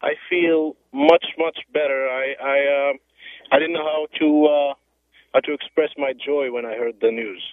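A man from Morocco calling in to a radio show, earnest and a little halting. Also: mid-range voice, fairly even intonation, no echo. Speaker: caller. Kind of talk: call-in radio conversation. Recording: tinny phone line on radio.

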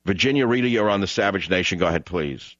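A man's voice: sits low, animated, fast, fairly bright tone, no echo. A man hosting a call-in radio talk show, emphatic and combative, repeating lines for effect. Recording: dull radio broadcast sound.

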